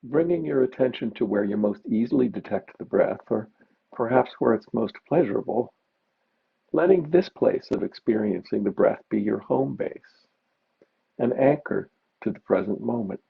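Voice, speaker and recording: A man teaching meditation, giving gentle, unhurried guided mindfulness instructions with pauses. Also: medium pitch, lively intonation, gravelly, slightly rough. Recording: dull, muffled lo-fi recording.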